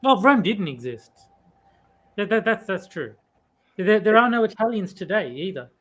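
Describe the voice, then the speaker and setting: A woman's voice: medium pitch, sing-song, moderate pace. A woman telling a story in a casual podcast chat, rambling with frequent restarts and fillers. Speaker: podcast co-host.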